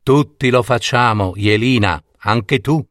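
A man voicing a gentle, loving father who speaks softly and reassuringly out of a dream. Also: medium pitch, measured pace, no echo, clean studio sound, close to an everyday voice. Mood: tender.

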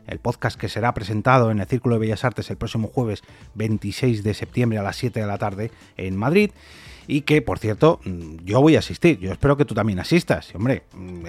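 A man's voice: mid-range voice, a little mellow, no echo. A man with an accent from Spain hosting a podcast in a chatty, informal, conversational way. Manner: chatty, informal, fond of diminutives. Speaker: podcast host and interviewer. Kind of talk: conversational podcast interview and sign-off.

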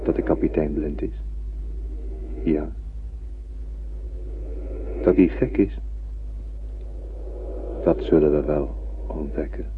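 An actor playing a calm, drowsy, warm-hearted alien spacefarer in a radio drama. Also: male voice, a bass voice, takes it slowly, pauses often. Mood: placid, drowsy. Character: placid alien spacefarer, warm-hearted friend, tattooed skin. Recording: tinny lo-fi old radio recording, faint noise.

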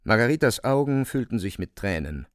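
A man narrating a literary radio play in a measured, deliberate storytelling manner. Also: mid-range voice, sing-song, no room sound, clean studio sound.

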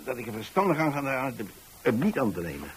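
A man's voice: medium pitch, wildly swooping pitch, brisk, a little hiss or hum, poor audio. An actor playing an older, plain-spoken pensioner in a radio drama, telling his story in a hesitant, repetitive, folksy way. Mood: reflective. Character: rambling, plain-spoken old pensioner who takes in lodgers. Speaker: narrator of a radio play.

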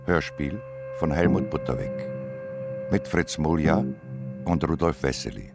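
A man's voice: a bass voice, huge pitch swings, unhurried. A man reading scripted credits for a radio play. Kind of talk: scripted announcement. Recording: prominent music under the voice. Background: music.

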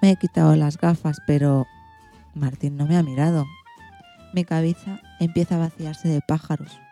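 Dreamy little girl for children's radio play adult woman acting, wistful and simple, lowish, very soft-toned, faint music. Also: fairly even intonation, normal speed. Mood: dejected. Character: dreamy lovestruck little girl who hums to herself.

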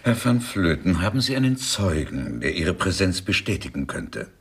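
Wordy ironic detective for a radio play, really deep voice, husky, kinda pompous. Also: male voice, big pitch swings, normal speed, a bit bright, heavily acted. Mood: questioning. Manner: pompous, learned, dry. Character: erudite, world-weary male police detective, wordy and ironic. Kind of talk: 1990s German radio play acting.

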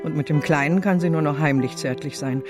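Low-voiced, motherly servant woman, acted by a woman. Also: fairly quick, natural voice. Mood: bitter, indignant. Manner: homely, fretful, affectionate. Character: devoted, motherly servant woman, plain-spoken and anxious. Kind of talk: German radio drama acting. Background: music.

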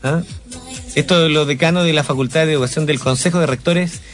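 A man hosting a morning radio show, reading news and public statements in a fairly high voice and slipping in jokes along the way. Faint music plays behind him. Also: fairly even intonation, fairly quick. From Chile. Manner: jokey, reads statements aloud with banter. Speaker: radio host.

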